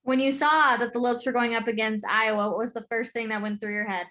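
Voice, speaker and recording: A woman's voice: fairly high pitch, steady tone, fairly quick, pushed and bright. A woman co-hosting a sports podcast, asking questions in a conversational interview style.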